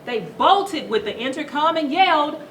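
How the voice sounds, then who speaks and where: A woman reading a children's story aloud to schoolchildren in a teacherly, animated way, stopping to put questions to them. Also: high voice, moderate pace. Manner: teacherly, asks the listeners questions. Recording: roomy echo, distant mic, noisy background.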